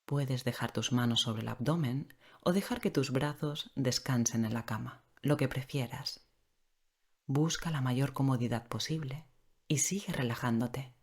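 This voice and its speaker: A woman leading a guided sleep meditation in a gentle, unhurried way with long pauses, giving slow, soothing instructions. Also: very deep voice, husky. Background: relaxing rain sound and soft music.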